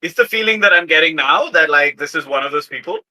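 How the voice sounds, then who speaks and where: A man talking casually as a player in a tabletop game, in a thoughtful, deliberate manner. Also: a little high, animated, bright, forceful tone, poor audio.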